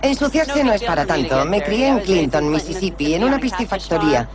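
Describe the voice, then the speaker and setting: Animated woman in a dubbed interview. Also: lowish voice, fairly quick. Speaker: mud wrestler being interviewed.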